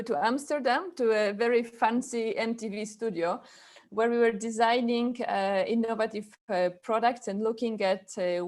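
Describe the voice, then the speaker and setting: A woman from Poland with a Polish accent gives an informal online presentation, speaking in halting phrases with repeated words. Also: medium pitch. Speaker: webinar presenter, chapter president.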